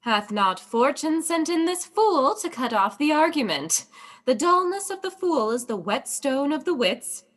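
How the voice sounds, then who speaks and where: A woman's voice: high-pitched, big pitch swings, unhurried. A woman playing a young, loyal, witty princess in a Shakespearean radio play. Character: young princess, loyal, bright-witted cousin.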